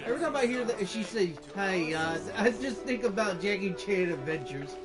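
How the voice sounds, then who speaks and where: A woman giving casual, halting, amused gameplay commentary as a streamer. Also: medium pitch, lively intonation, rough recording. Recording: game music behind the voice.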